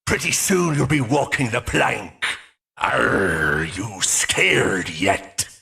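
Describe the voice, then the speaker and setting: A man voicing a mischievous, menacing animatronic pirate fox in a video game, with a stage pirate accent, boisterous and taunting in a gravelly growl. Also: fairly low pitch, very animated, extremely slow, sharp tone, full character voice. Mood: gleeful menace. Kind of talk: video game character voice lines.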